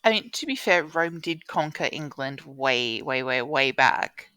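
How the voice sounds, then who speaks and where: A woman's voice: a bass voice, animated, a little slow, sharp tone, clear, resonant tone, dry recording. An Australian woman co-hosting a casual chat, telling anecdotes with informal, dry humour and acted-out quotes. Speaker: podcast co-host.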